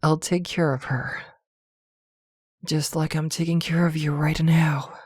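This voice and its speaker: A woman playing a possessive, protective witch girlfriend in an intimate ASMR roleplay, speaking softly and soothingly with hesitant pauses. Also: low voice, fairly even intonation, husky, no room sound, studio quality.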